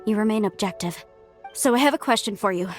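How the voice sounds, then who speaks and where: A woman's voice: medium pitch, fast, soft background music, lightly acted. A woman voicing a polite, earnest young scholar in a video game, courteous and careful in her phrasing. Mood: earnest, inquisitive.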